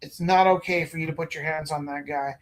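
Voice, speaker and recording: High-voiced man telling stories. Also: fairly quick. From Alberta, Canada. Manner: rambling, animated anecdotes with acted quotes. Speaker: art gallery owner and teacher. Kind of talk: casual storytelling chat.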